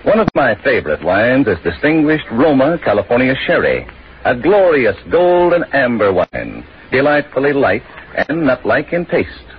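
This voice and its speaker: Animated male 1940s radio pitchman. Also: moderate pace. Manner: polished, emphatic salesmanship. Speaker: commercial announcer. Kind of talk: scripted 1940s radio advertisement. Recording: muffled 1940s radio recording with faint noise.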